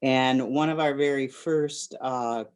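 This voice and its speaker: A woman's voice: very deep voice, steady tone, slow. A woman in her sixties from Michigan with an American accent, reminiscing in a group interview. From Michigan, United States. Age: 60s. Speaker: scout troop member.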